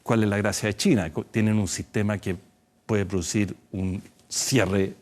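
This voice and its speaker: A man, a doctor guest in a studio TV interview, explaining in a measured way with some hesitations. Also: lowish voice, fairly even intonation. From Chile.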